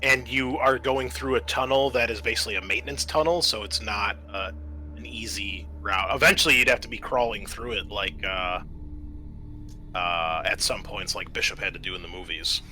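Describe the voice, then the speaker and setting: A man's voice: on the low side, moderate pace, pushed and bright. A man acting as game master, casually explaining a tabletop sci-fi game. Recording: noticeable background noise.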